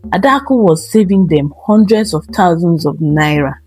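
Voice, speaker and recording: A woman's voice: lowish voice, normal speed, a little mellow, no echo, faint music behind. A woman narrating a dramatic scripted folktale as a storyteller.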